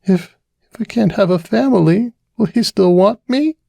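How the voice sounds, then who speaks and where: A man voicing a tipsy, anxious young mare pony, high and wavering, on a dry close recording. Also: expressive, normal speed, creaky, dark tone, dry recording, heavily acted. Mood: drunk, anxious.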